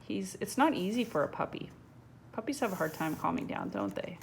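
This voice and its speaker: Female storyteller, mid-pitched, conversational children's read-aloud with chatty asides; homemade recording with some background noise. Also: sing-song, brisk, creaky. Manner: conversational, asks the listener direct questions. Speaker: storyteller reading a picture book to children.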